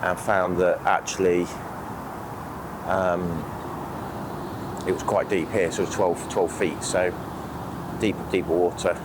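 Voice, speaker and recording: A British man with a croaky, sniffly voice from a cold, chatting casually and a little hesitantly as a fishing vlogger outdoors. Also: deep voice, little pitch movement, slow pace, pauses often, background noise. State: has a cold. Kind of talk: casual outdoor vlog talk to camera. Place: outdoors on a lake bank.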